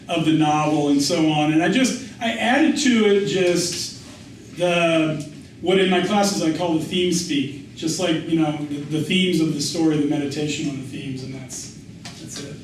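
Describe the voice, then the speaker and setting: In a very echoey room, picked up from a distance, a middle-aged American man speaks in a slightly high voice. He talks informally like an author answering questions, telling anecdotes and circling back over his phrases. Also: a little slow. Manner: conversational, anecdotal, often restarts and repeats phrases for emphasis. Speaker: author and writing teacher speaking at a reading. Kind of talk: informal spoken Q&A after a reading. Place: indoor reverberant event room. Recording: echoey room, distant mic, faint noise.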